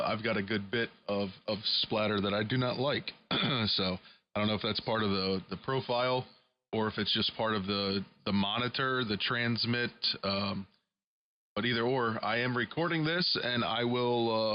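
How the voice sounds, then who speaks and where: Low-voiced, easygoing man. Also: steady tone, normal speed. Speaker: amateur radio operator. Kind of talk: casual technical radio chat. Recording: slightly dull audio, faint room noise.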